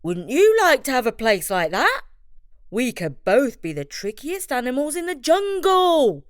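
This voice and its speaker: A woman's voice: high voice, very animated, unhurried, dry recording, studio quality, voice pushed up. A woman voicing a big, stubborn, scheming male bear with emphatic, folksy speech in a bedtime story. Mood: persuasive.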